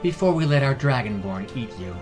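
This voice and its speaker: A man's voice: mid-range voice, sing-song, fairly quick, close to an everyday voice. A man voicing a bold, confident female adventurer with a wry manner in a fantasy story. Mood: wry teasing. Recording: music behind the voice.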